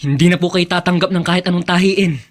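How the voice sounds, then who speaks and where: An actor playing a devoted, determined young son in a Filipino radio drama, speaking earnestly and respectfully. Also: male voice, sits high, steady tone, fast talker, lightly acted. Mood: earnest, resolute. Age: young adult.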